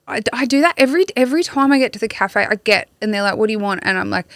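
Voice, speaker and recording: A woman's voice: fairly high pitch, expressive, racing, fairly bright tone, no echo, studio quality. A woman, a guest on a podcast, chatting in a rambling, self-deprecating way. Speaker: guest, author. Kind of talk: casual interview chat.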